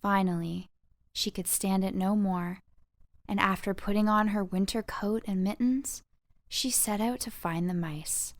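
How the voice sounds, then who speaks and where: A woman narrating a scripted children's audiobook with expressive bedtime storytelling. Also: mid-range voice, measured pace, no echo.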